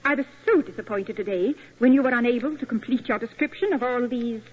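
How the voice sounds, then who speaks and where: A woman's voice: a little high, a little fast, a little hiss or hum, close to an everyday voice. A woman playing a genteel lady tourist. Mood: eager politeness. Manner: refined, gushing, breathy politeness. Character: genteel young English lady tourist, eager and polite. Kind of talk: 1940s radio acting. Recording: dull old radio broadcast.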